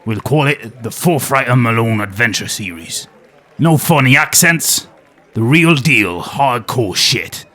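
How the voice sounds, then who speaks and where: A man's voice: mid-range voice, lively intonation, unhurried, sharp tone. A man playing an aging former nature documentary host with a wry, world-weary, conspiratorial manner. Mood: gruff. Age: older.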